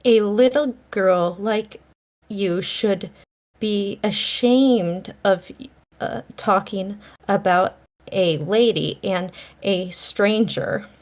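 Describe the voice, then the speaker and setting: A woman reading the part of a stern, reserved middle-aged spinster from a period novel, in a halting manner. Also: mid-range voice. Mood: stern reproof. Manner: halting, severe wording.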